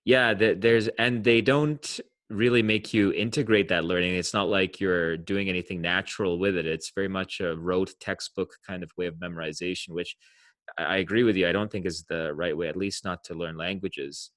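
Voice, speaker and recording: Very deep-voiced male host. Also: fairly even intonation, normal speed, fairly bright tone, no echo, studio quality. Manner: musing, restarts, builds long questions. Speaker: podcast host. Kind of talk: casual interview questions.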